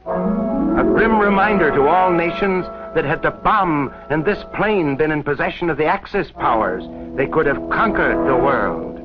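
A man narrating a scripted 1940s educational film in a solemn, declamatory way. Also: on the high side. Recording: dull, band-limited 1940s film soundtrack. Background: orchestral film score music.

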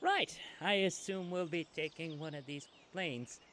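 A man voicing a confident, energetic animal commando in a video game. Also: on the high side, unhurried.

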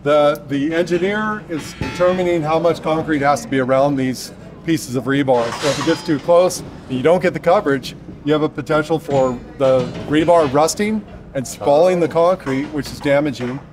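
A man in his fifties with a Northern Californian accent explains construction details step by step, in an even, technical way. His voice sits a touch higher than most men's. He is recorded outdoors on a job site with a clip-on mic. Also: normal speed, music in the background. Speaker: builder. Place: outdoor construction site by a basement form wall.

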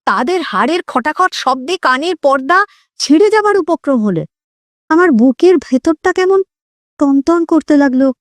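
A woman's voice: high voice, animated, measured pace, dry recording. A woman narrating a scripted horror story in a theatrical, suspenseful way, drawing out words for effect.

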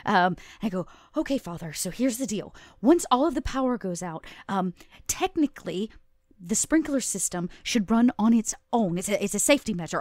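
A woman's voice: mid-range voice, no room sound, clean studio sound. A woman playing a tabletop game in casual roleplay, with a hesitant, stop-start delivery.

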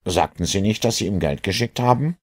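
A man's voice: fairly low pitch, fairly quick, voice pushed up, close to an everyday voice. A man voicing an earnest, sceptical police inspector with a questioning, insistent manner in an audiobook. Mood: puzzled, probing.

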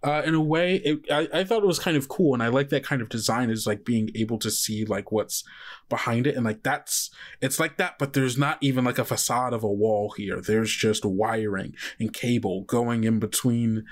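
A man's voice: medium pitch, steady tone, moderate pace. A man with an American accent who runs a tabletop game, narrating off the cuff in a conversational, rambling way full of asides. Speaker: game master.

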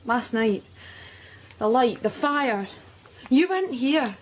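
Teen daughter, radio drama, dry n shaken, high voice swinging around. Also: female voice, expressive, slow pace, a little hiss or hum.

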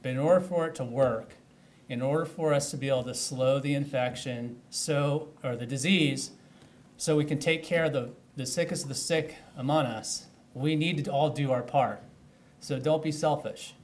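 A man's voice: mid-range voice, normal speed, a little mellow. A man, a county official, earnestly urging the public at a press conference and restarting phrases as he speaks into podium microphones. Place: indoor press room at a podium.

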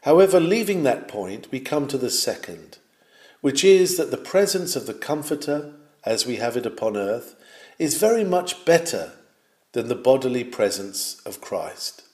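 A man reads a sermon aloud in a solemn, declamatory manner, now and then rising in fervour. His voice is of middle pitch and carries a roomy echo. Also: very animated, darkish tone. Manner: measured and declamatory, with swells of fervour. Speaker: reader of a historic sermon. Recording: roomy, echoing sound.

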